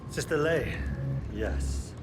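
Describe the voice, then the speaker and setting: An actor playing a domineering, impatient scientist in a video game cinematic, giving curt, commanding orders. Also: male voice, on the high side, animated, slow pace, loud music behind the voice. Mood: businesslike. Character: domineering, impatient male scientist with mind-control powers. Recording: very loud ambient noise behind voice.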